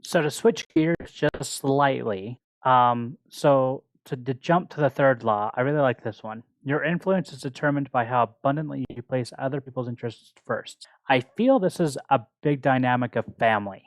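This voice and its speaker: A man's voice: medium pitch, normal speed, hardly any pauses, no echo, poor audio. A man co-hosting a book club podcast, talking casually and explaining his thoughts in a reflective way.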